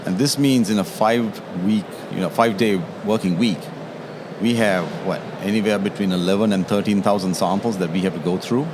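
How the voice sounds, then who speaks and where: A man's voice: lowish voice, steady tone, brisk. A man from Sri Lanka, a tea taster explaining his trade in an interview, often checking in with "yeah?". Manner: explanatory, tags statements with "yeah?". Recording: noisy trade show floor.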